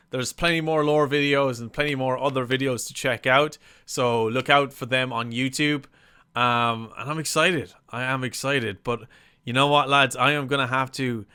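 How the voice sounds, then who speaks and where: Casting: male reaction host, bright and smooth-toned, casual, excitable, prone to swearing. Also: mid-range voice. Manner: hyped, sweary, exclamatory. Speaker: reaction video host and game streamer.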